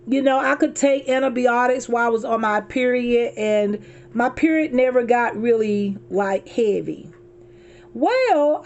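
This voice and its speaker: A hoarse middle-aged woman chatting casually to her viewers from her car, calling them y'all and repeating herself as she talks. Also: fairly high pitch, a little mellow. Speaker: vlogger.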